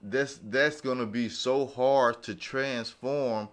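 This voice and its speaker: A man talking in a casual round-table podcast discussion, halting and repeating himself as he searches for words. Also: mid-range voice, fairly even intonation, fairly bright tone. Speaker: podcast panelist.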